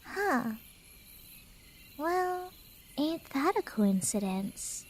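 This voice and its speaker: Woman playing a high-voiced, teasing Cheshire cat girl. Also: sing-song, extremely slow, slight background noise, full character voice. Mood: curious, surprised.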